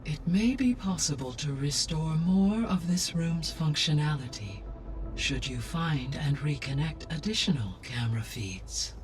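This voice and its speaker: A gentle, erudite male AI from a video game. His voice sits slightly high, and he speaks with calm, formal precision. Music plays clearly underneath. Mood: measured, informative. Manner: measured, formal, precise delivery. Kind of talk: scripted video game dialogue.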